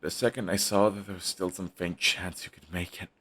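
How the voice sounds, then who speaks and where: A bitter young villain, fiercely devoted and raw-edged, swearing as he talks, voiced by a man. His voice sits extremely low, with a husky grain and a forceful, tense edge. It is an intimate audio-roleplay monologue. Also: steady tone, a little fast, full character voice. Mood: grieving. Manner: intense, raw, swears often.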